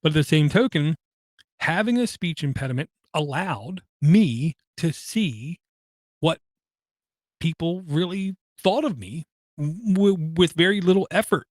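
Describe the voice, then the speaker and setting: A man hosting a podcast, talking through personal matters in a halting way, with many pauses and fillers and an occasional stutter. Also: on the high side, expressive, no echo. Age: adult, has kids. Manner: halting, frequent ums and uhs, slight stutter. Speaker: podcast host. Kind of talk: personal monologue.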